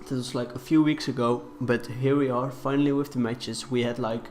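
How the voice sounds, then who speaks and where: A young man with a cold, talking quietly and hesitantly as he commentates on a game, with many fillers. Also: mid-range voice, little pitch movement, a little hiss or hum. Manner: deliberately quiet, subdued delivery. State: has a cold. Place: room in a shared flat.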